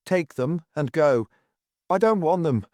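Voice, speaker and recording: Man voicing a quiet, steely noblewoman. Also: fairly high pitch, animated, measured pace, no echo, pitched above the performer's own voice. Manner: quiet, composed. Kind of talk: audiobook reading.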